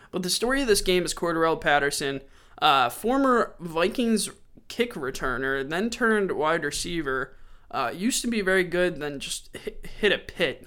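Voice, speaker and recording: Man, stuffy sore throat, podcast host, slightly high pitch, smooth resonant tone, casual stat-heavy recap. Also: fairly bright tone. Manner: rattles off game stats in a loose, conversational way.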